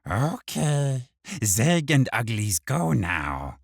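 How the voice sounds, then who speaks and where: A man's voice: mid-range voice, sing-song, drawn-out words, creaky, no echo, clean studio sound, voice pushed up, exaggerated voice. A man voicing a tiny, cheeky, stubborn imp in an audiobook, grumbling in broken, childlike grammar. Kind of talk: audiobook character voice.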